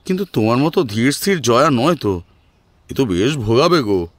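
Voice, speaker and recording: A man playing a worried older father-in-law who speaks haltingly in an audio drama. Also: medium pitch, sing-song, no room sound. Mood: anxious. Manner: hesitant, halting. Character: worried, hesitant older father-in-law dependent on his wife.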